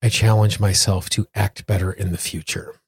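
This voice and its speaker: A man with a fairly low, slightly husky voice talks as a solo podcast host. He phrases things earnestly and deliberately, often repeating for emphasis. The sound is close and dry. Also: fairly even intonation, no room sound. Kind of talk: solo podcast talk with guided affirmations.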